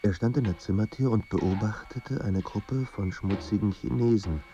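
A man performing as a somber narrator of a troubled writer's life in a radio drama, with measured, grave delivery. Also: on the low side, dark tone, lo-fi sound, a little hiss or hum, natural voice. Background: music.

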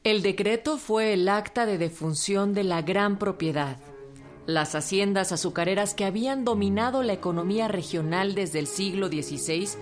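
A woman's voice: medium pitch, normal speed. Woman narrator of radio history. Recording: with music underneath.

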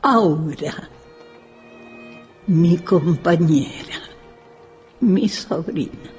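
Imperious, very slow-speaking old widow. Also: female voice, fairly low pitch, a little mellow. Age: older. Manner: slow, deliberate, commanding. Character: frail elderly widow, reclusive, imperious and insistent. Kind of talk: radio drama acting. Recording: music behind the voice.

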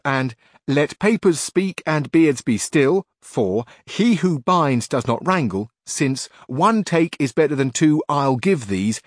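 A man's voice: mid-range voice, no room sound, studio quality, pitched above the performer's own voice. A man doing Sancho, the blundering squire. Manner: earnest, homespun and insistent. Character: simple, stubborn peasant squire, blundering.

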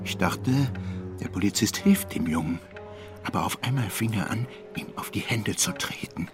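Guy acting a rattled witness, kinda low subdued voice, music under it, radio play. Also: animated, normal speed, close to an everyday voice. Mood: shaken, subdued. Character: shaken male eyewitness giving a hesitant account. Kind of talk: German radio drama acting.

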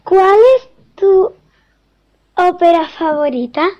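A young interviewer reads prepared questions slowly and carefully. The voice is very high, and the pace is unhurried. The sound is dull and lo-fi. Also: a little mellow. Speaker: child interviewer.

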